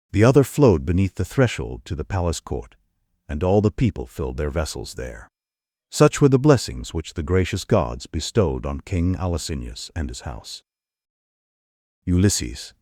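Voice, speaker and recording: A man's voice: extremely low pitch, a little mellow, dry recording, clean studio sound. A man giving formal, dramatic narration of an epic audiobook. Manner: formal storytelling.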